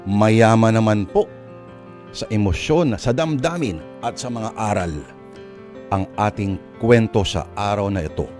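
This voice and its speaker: A man with a lowish voice and a Filipino accent narrates as a radio drama host. His delivery swoops widely in pitch, with deliberate phrasing and dramatic pauses over soft music. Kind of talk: dramatic radio storytelling introduction. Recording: music under the voice.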